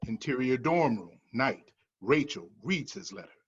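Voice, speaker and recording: A man's voice: medium pitch, big pitch swings, a little slow, muffled recording, slight background noise. A man reading the stage directions of a screenplay at a table read in a matter-of-fact manner.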